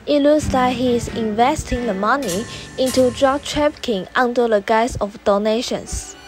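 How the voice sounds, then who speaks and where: A woman as female private detective, fairly high voice, amateur radio drama, music behind. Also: moderate pace, close to an everyday voice. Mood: businesslike.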